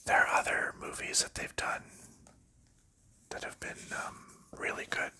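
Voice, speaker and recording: A man whispering softly as an ASMR host, rambling casually with frequent pauses and ums while he unwraps and sleeves game cards.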